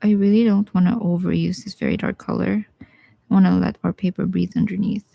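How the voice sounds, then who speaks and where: Gravelly-voiced Bisaya woman teaching painting. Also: lowish voice, fairly even intonation, a little fast, no echo, dull audio. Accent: Bisaya Filipino. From Philippines. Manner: casual, thinks aloud while painting, drops into quiet asides. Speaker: watercolor artist streaming live. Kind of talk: live painting tutorial with chat replies.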